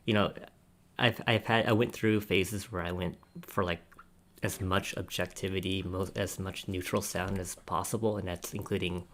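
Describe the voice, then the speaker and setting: A man with a low voice talks in a casual roundtable discussion. He speaks as a reviewer on the panel and often chuckles between his points. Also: steady tone, normal speed, fairly bright tone. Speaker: audio gear reviewer and podcast panelist.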